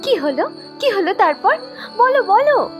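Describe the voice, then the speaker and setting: A woman playing a young, artless, eager village servant girl in an audio story, with a girlish, expressive delivery. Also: extremely high pitch, a little slow, music in the background, full character voice. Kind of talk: Bengali audio story acting.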